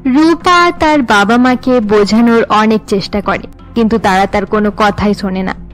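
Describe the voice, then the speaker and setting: A woman's voice: medium pitch, normal speed, soft background music. A woman giving scripted story narration for a cartoon.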